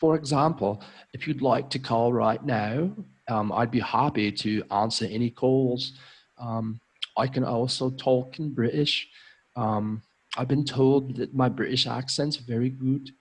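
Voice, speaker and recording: A man's voice: medium pitch, fairly even intonation, measured pace, slightly rough. An American man hosting a live stream as an English tutor, chatting in a friendly, upbeat way with frequent ums. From United States. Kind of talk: casual live-stream chat.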